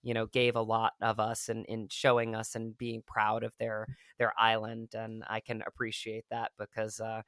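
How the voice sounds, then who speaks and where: Man, actor guest, reflective and rambling, steady even pitch, crisp clear voice. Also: normal speed, hardly any pauses, dry recording. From rural Virginia, USA. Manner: reflective, rambling, many fillers. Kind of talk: casual interview talk.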